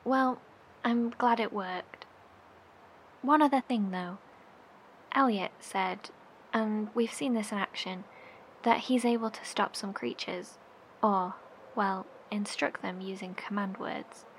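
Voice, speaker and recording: Actress as soft-spoken, tentative woman investigator. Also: mid-range voice, slow, pauses often, a little hiss or hum, low-quality recording. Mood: tentative, concerned. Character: earnest, careful woman investigating supernatural rifts. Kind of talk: horror audio drama acting.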